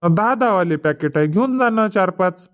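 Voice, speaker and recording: A man plays a street vendor in a comedy cartoon, coaxing buyers with his calls. His voice is high for a man and. The sound is dull and lo-fi. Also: lively intonation, normal speed. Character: male festival market vendor hawking his wares. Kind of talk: dubbed comedy cartoon dialogue.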